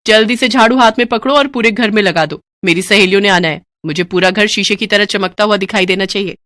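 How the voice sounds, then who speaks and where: A woman's voice: mid-range voice, fast talker, a bit bright, no room sound, studio quality, natural voice. A woman voicing a bossy, greedy young daughter-in-law who scolds and orders people around in a storybook drama. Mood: scolding. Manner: haughty, scolding, commanding.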